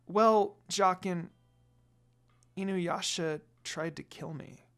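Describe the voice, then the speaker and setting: A narrator voicing a gentle young woman, a priestess who speaks hesitantly and kindly. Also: mid-range voice, slow pace, soft background music. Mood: sad.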